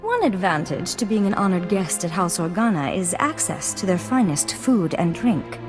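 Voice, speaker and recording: A woman's voice: lowish voice, sing-song, moderate pace. A refined sci-fi noblewoman for a video game. She speaks formally and with poise, with a flirtatious side. Long hall reverb surrounds her voice, with music behind it. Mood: coolly flirtatious. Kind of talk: video game cutscene acting.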